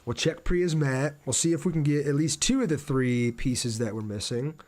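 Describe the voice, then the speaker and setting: A man with a mid-pitched voice commentates on his own game livestream. He talks casually, thinks aloud as he plays and chats in a friendly way with his viewers. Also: lively intonation, moderate pace, a little mellow. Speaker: game streamer. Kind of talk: casual livestream gameplay commentary with chat replies.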